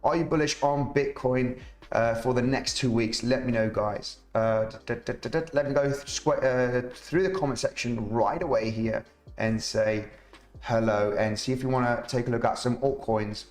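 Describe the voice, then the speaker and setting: Chatty man with a slightly rough voice. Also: medium pitch, moderate pace. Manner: chatty, rambling, fillers and restarts, reads viewer comments. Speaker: crypto market analyst streamer. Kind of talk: live chart analysis, casual. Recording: roomy echo, faint music behind.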